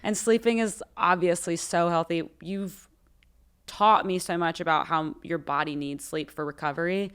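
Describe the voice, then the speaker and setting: A woman's voice: on the low side, steady tone, normal speed, fairly bright tone, smooth. A young woman singer co-hosting a podcast, chatting casually and animatedly in a studio. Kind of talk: casual chat. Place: recording studio.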